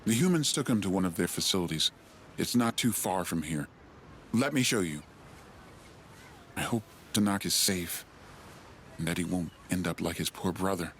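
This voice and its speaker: A loyal alien rider captain in a video game. He sounds grave and troubled, in a fairly low voice. Faint ambient noise runs behind him. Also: lively intonation, moderate pace, pauses often. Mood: grave, worried. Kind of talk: video game cutscene acting.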